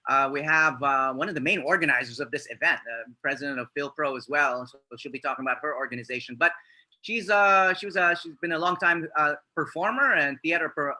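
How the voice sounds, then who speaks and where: Male panel moderator, very bright forceful tone, halting delivery full of fillers. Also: on the high side, animated, low-quality recording.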